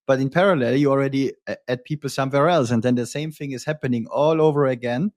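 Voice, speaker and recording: Measured man hosting a podcast, dry sound. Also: mid-range voice, darkish tone, no echo. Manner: measured, reflective, frequent ums. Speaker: podcast host. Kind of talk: conversational interview.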